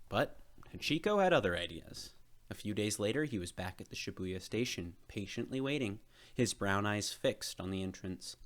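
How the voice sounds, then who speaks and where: A man's voice: fairly low pitch, expressive, normal speed. A man reading a children's storybook aloud as narrator. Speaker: storybook reader.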